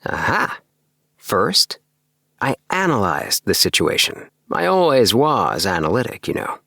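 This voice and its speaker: A man voicing an excitable, earnest young dreamer in an audiobook, with an emphatic, self-satisfied manner. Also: lowish voice, vocal fry, husky, dry recording, clean studio sound, exaggerated voice. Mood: eager, triumphant. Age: young adult. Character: excitable young dreamer, eager, earnest, obsessed with house plans. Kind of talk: acted audiobook dialogue.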